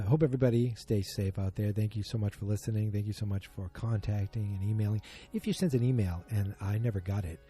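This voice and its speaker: A man's voice: lowish voice, dark tone. A man hosting a solo podcast, chatting casually in a rambling, self-deprecating, joking way. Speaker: podcast host.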